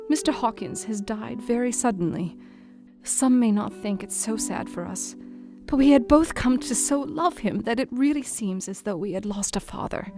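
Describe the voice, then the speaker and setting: Young Victorian wife, female actress: audio drama letter, mid-range, gentle, anxious, earnest, guitar music underneath. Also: medium pitch, close to an everyday voice. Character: tender, worried young Victorian wife writing to a friend.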